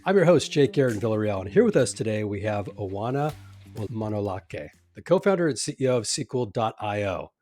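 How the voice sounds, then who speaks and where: A man's voice: on the low side, very animated, a little fast, a little mellow, no room sound, faint music behind. A man who grew up in California, hosting a podcast interview with a read-out intro and loosely phrased friendly questions. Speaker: podcast host.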